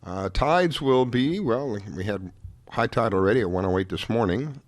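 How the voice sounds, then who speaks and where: A man hosting a talk radio show, hoarse after a late night, chatting in a rambling way with many restarts. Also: mid-range voice, lively intonation, normal speed, dry recording. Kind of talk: casual talk-radio chat.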